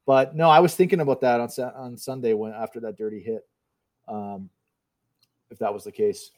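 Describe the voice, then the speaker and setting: A man from Canada in a casual sports chat, measured and hedging, stammering as he starts. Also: mid-range voice, steady tone, darkish tone. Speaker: podcast co-host.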